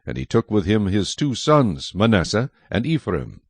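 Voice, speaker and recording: A man narrating scripture from a script as a measured, dramatic storyteller. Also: lowish voice, very animated, creaky, husky, no room sound.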